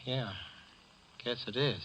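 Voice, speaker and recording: A man's voice: mid-range voice, fairly even intonation, takes it slowly, a bit bright, rough recording. An actor playing a wounded, proud young man in 1940s radio drama style, curt and brooding. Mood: stunned. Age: young adult.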